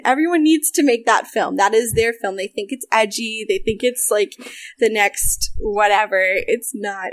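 A young American woman in a casual interview, talking animatedly about voice acting and game development, with frequent laughs and quick asides. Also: fairly high pitch, a bit bright, no echo.

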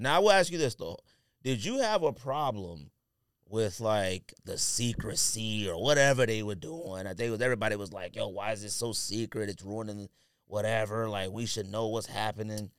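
A man's voice: on the low side, animated, normal speed, clean tone, no echo, clean studio sound. A man co-hosting a casual sports podcast, talking emphatically in slang and repeating himself to press a point. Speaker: podcast co-host.

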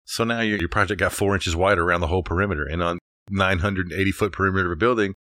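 A man's voice: low-pitched, steady tone, racing, bright, forceful tone, dry recording, clean studio sound. A man co-hosting a podcast, talking in an informal, agreeable, conversational way. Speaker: podcast co-host, architecture teacher. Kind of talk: casual conversational podcast talk.

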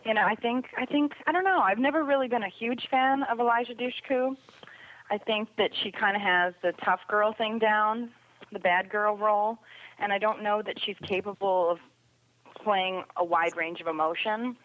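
A woman's voice: mid-range voice, normal speed, no echo. A woman chatting casually about television in an opinionated, emphatic way over a remote call. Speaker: podcast guest commentator on sci-fi TV. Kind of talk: casual TV review chat. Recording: dull, phone-like line.

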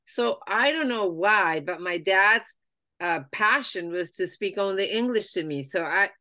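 Bright-voiced woman telling her story. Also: medium pitch, measured pace. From Argentina. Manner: chatty, animated, with frequent restarts and fillers. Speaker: guest. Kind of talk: conversational life-story interview.

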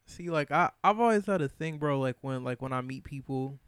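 A man's voice: medium pitch, lively intonation, a little fast, a bit bright, clean tone, no echo. A man from Michigan, a podcast guest, chatting casually and loosely in a studio, full of slang and repeated fillers. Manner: slangy and loose, peppering talk with "bro" and "like". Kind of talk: casual chat.

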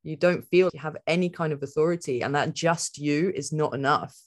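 A woman's voice: low-pitched, a little fast. A woman speaking as a podcast guest, thinking aloud in a rambling, reflective way, with many "like" fillers and frequent laughter. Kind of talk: reflective conversational interview.

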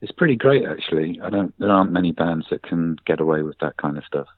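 Low-voiced man on a tinny phone line. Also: no echo. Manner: unhurried, with frequent ums. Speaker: musician guest. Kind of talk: casual interview answers.

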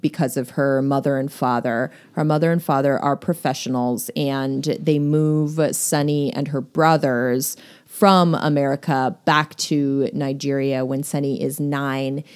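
A woman with a low voice talks through a novel in relaxed conversation. She leans on fillers and breaks into laughter easily, keeping it chatty and informal. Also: measured pace, clean tone. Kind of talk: casual book discussion.

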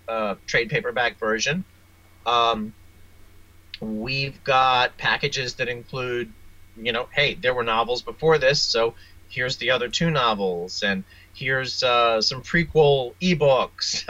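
A man with a forceful, bright voice and average pitch answers interview questions casually. He rambles a little and hesitates as he goes. The recording is slightly dull and lo-fi. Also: takes it slowly. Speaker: interview guest, author and audio drama producer.

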